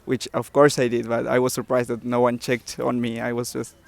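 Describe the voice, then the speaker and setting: A young man from Argentina with an Argentine accent, answering street interview questions softly and hesitantly. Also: medium pitch, monotone, fairly quick, gravelly, dry recording. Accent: Argentine Spanish. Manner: soft, laughs easily, hesitant.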